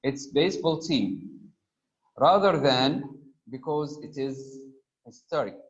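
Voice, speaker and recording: A man teaching a grammar lesson, reading example sentences slowly, stressing each item and pausing on frequent "uh" fillers. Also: mid-range voice, lively intonation. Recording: dull lo-fi recording.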